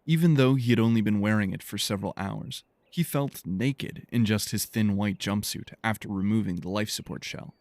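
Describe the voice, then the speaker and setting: Male narrator, low pitch, gravelly fry, measured storytelling, scripted audiobook fiction, dry recording. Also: a little mellow, dry recording.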